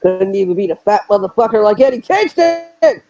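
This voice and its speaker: A man co-hosting a casual wrestling podcast, loose and profane, joking with lots of halting restarts. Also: high-pitched, sing-song, mellow, lo-fi sound, soft background music. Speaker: podcast co-host.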